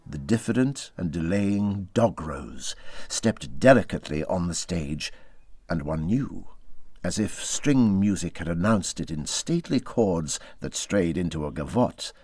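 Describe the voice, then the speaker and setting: A man reading a classic story aloud as an expressive audiobook narrator. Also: low-pitched, a little slow, no room sound.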